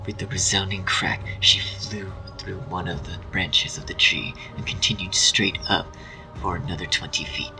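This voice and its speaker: A man with a breathy voice reading a dramatic story as narrator. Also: sits low, steady tone, normal speed, over music, low-quality recording.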